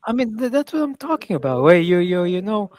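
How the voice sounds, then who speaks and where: Higher pitched guy ranting about football, voice jumping all over, dry mic. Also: brisk, no room sound. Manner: animated, mocking, rambling with restarts. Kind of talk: casual football banter.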